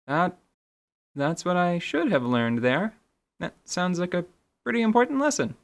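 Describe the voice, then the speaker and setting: A woman's voice: on the low side, lively intonation, a little slow. A woman chatting casually as a tabletop game player, in a conversational, bantering style.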